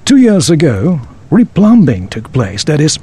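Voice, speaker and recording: A man's voice: fairly high pitch, huge pitch swings, a little slow, dark tone, husky, heavily acted. A man playing a knowledgeable, obliging local tour guide in a scripted audio drama, speaking in a formal, presentational way. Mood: informative, obliging.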